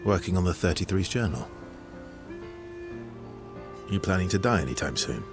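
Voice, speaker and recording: An actor playing a gentle, wry, reflective man in a video game cutscene, speaking softly and haltingly. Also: sits low, animated. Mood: wry, curious. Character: gentle, wry, grieving man, musician from artist family. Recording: studio voice under clear background music.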